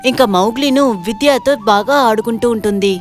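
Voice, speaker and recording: A woman narrator reading a scripted moral story for an animated video. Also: a little high, fairly quick, soft background music.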